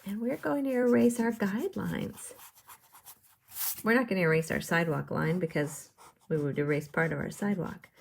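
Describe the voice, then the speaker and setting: Low-pitched, creaky-voiced woman teaching drawing. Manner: simple encouraging explanations with questions to the viewer. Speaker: art teacher leading a drawing lesson. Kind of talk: step-by-step instructional demonstration.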